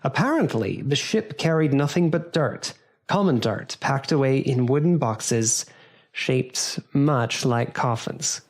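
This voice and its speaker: An actor playing a grave narrator in a gothic audio drama, telling the tale in a solemn, deliberate, dramatic way. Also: male voice, medium pitch, animated. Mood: solemn, ominous. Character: grave narrator reporting an eerie shipwreck.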